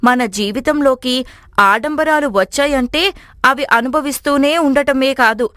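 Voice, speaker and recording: Young frugal daughter-in-law acted by a woman, high voice, very dry sound, earnest and preachy, for an animated family tale. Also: a little slow, dry recording. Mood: earnest, insistent.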